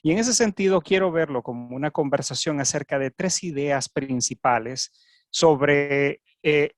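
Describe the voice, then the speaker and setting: A Venezuelan man giving a keynote talk at an academic webinar over a video call, with a Venezuelan Spanish accent, often pausing and repeating words as he goes. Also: a little high, no echo, clean studio sound. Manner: frequent hesitations and repeated words. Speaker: keynote speaker. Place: home office in Canada.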